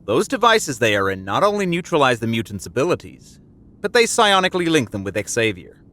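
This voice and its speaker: An actor playing a cold villainous doctor in an audio drama, detached and matter-of-fact. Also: male voice, mid-range voice, very animated, fairly quick, sharp tone. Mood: clinical, detached. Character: cold clinical male doctor, calm torturer.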